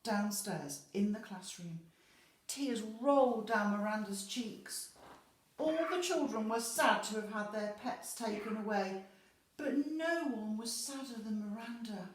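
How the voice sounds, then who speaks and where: A woman teacher reading a children's storybook expressively, pausing to explain words simply to young listeners. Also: fairly high pitch, slight background noise. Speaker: infant school teacher. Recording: echoey room.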